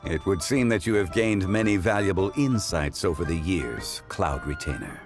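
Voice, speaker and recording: A man playing a calm, courteous, scholarly gentleman in a video game, speaking in a formal, measured, philosophical manner. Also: low voice, music in the background. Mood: gently amused.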